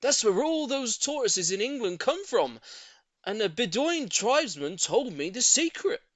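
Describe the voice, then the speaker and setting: A man voicing a shy, earnest, politely lovestruck older gentleman with a solemn, emphatic delivery in an audiobook. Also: extremely high pitch, sing-song, unhurried, dry recording, voice pushed up, exaggerated voice. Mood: confiding, earnest. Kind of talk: audiobook character voice.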